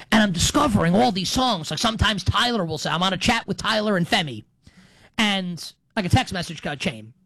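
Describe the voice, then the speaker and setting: A man's voice: high-pitched, a bit bright, no room sound. A middle-aged man hosting a sports talk show in a casual monologue, digressing, repeating and qualifying his points for emphasis.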